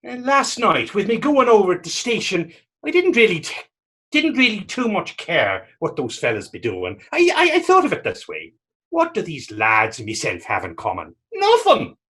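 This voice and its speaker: A man playing a battered, pious and self-mocking fisherman in readers theater, telling his story in halting, folksy dialect. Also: high voice, wildly swooping pitch, sharp tone, husky, heavily acted. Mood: bemused, animated. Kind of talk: readers theater dramatic dialogue.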